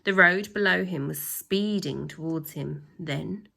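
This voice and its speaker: A woman reading a children's story aloud like a teacher, with expressive, drawn-out emphasis and acted sound effects. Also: fairly low pitch, creaky.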